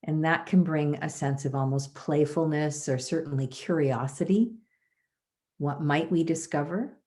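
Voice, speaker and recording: A woman leading a guided body scan meditation as a teacher, soothing and measured, with pauses between phrases. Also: sits low, a little mellow.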